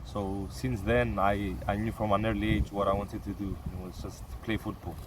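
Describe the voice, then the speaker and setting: Low-voiced young man, off-mic outdoors. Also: flat delivery, distant mic, a little hiss or hum. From Cyprus. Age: young adult. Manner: soft-spoken, halting with restarts and fillers. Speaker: interviewee, young footballer. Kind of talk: casual interview answers.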